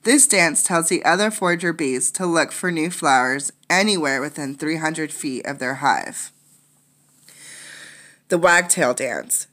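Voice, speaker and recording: A woman's voice: sits low, a bit bright. A woman teacher reading a children's nonfiction book aloud, adding explanatory asides for young listeners.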